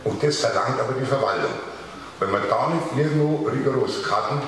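A man's voice: medium pitch, a little fast, slight background noise. An older man, a craftsman in the audience, making a blunt, emphatic comment on a handheld mic in a large showroom. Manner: blunt and emphatic, rising to sharp stresses. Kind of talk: spontaneous comment from the floor. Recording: echoey hall miked from far.

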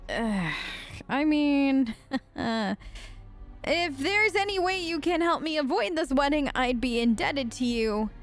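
A woman with a fairly high voice plays a game in character. She improvises casually, sounding polite and a little hesitant. Soft game music runs behind her. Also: lively intonation, slow. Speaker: gaming video host. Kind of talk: improvised in-character role-play for a game let's play.

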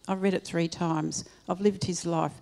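An older woman interviewing a guest on a convention stage and reading aloud, with a clear, deliberate delivery into a handheld mic. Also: fairly low pitch, fairly even intonation, a little mellow.

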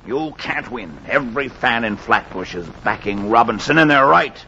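A man's voice: mid-range voice, lively intonation, moderate pace, vocal fry, pushed and bright. A man playing a principled American baseball executive, lecturing emphatically in a 1940s radio drama. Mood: grave, firm. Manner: emphatic, oratorical. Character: principled, forceful male baseball executive lecturing his players. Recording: dull 1940s radio recording with hiss.

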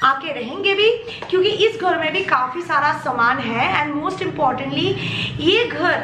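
A young woman vlogging casually and emotionally as she walks a handheld camera through the bare rooms of an old flat. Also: high voice, unhurried, background noise. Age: young adult. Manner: chatty, emotional, mixes in English phrases. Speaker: YouTube vlogger. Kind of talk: casual home tour vlog.

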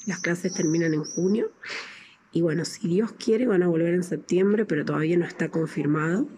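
An Argentine woman in her thirties talks casually into a phone outdoors. Her voice is soft-toned and mellow, a bit low, with faint noise around it. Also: moderate pace. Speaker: guest sending a video greeting. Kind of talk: casual personal update. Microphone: phone at arm's length. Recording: noisy outdoor phone recording.

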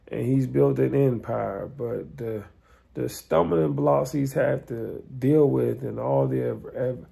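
A man in his thirties lies back on his living-room couch and talks into a phone held at arm's length. His voice is heavily gravelly and husky, with a dark, mellow tone. He rambles easily, filling his talk with "man" and "um". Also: mid-range voice. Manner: relaxed and rambling, lots of "um" and "man", lying back. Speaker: vlogger and fitness cook. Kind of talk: casual chat.

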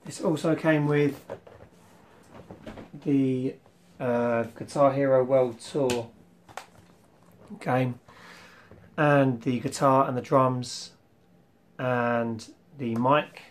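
A young man hosting a casual retro collection vlog, rambling with ums and clearing his throat, his voice slightly worn from losing it. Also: medium pitch, fairly even intonation, extremely slow, pauses often, a little hiss or hum. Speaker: retro game collector vlogger. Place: small room full of toy shelves, indoors.

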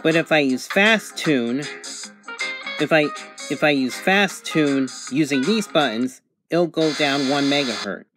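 A man's voice: fairly high pitch, measured pace, music in the background. A man giving a casual, methodical, matter-of-fact hands-on demonstration commentary indoors as a reviewer. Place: indoors at a table. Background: sound from a radio.

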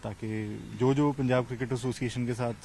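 Even-toned man, outdoor noise. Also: mid-range voice, normal speed. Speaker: cricket association official, interviewee. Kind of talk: interview answers, code-mixed with English words. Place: outdoors in a large empty cricket stadium. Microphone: handheld interview mic held toward him. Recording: clear outdoor ambient noise.

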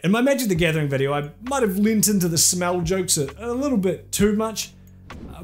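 A young man delivers scripted commentary with sarcastic, crude humour. His voice sits high and swings widely in pitch, lively throughout. Faint background music plays under his studio-recorded voice. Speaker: video essay host.

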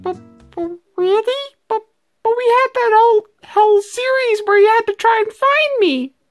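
A performer voicing a clingy, sulky cartoon dog with a squeaky voice and a whiny, stammering manner. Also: moderate pace, faint music behind. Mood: hurt.

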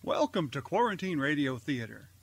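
Man, radio theater host, scripted intro, animated intonation, somewhat high-pitched, husky. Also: normal speed. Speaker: host and announcer of an amateur online radio theater.

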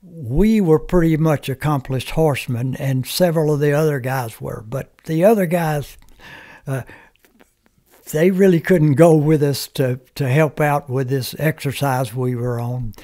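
Brief: older man, native Comanche speaker, halting plain-spoken storyteller, studio mic. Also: a little high, warm tone. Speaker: guest, veteran. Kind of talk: reminiscing interview talk. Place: small podcast studio. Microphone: studio mic on stand, headphones.

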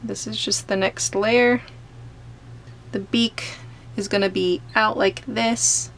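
A woman art teacher explains each brushstroke in a gentle, unhurried way as she paints. Her mid-height voice has a gravelly, fry-tinged edge, with a faint hiss of room noise underneath. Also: mid-range voice, fairly even intonation. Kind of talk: step-by-step painting tutorial. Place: home studio, overhead camera on painting table.

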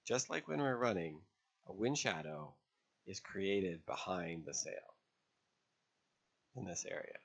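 A man narrating an instructional lesson as an instructor, explaining step by step in a measured way with pauses. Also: deep voice, sing-song, gravelly, slightly rough. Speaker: sailing instructor. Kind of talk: instructional narration over drawn diagrams.

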